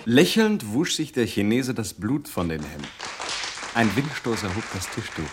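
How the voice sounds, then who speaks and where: Very animated rival storyteller. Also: male voice, fairly low pitch, moderate pace, loud music behind the voice, over a noisy crowd. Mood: composed narration. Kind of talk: experimental radio play, theatrical.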